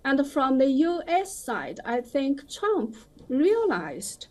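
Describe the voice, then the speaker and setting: A woman giving opinionated commentary as a guest in a live news interview, with halting, hesitant phrasing. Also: high-pitched, huge pitch swings, a little mellow.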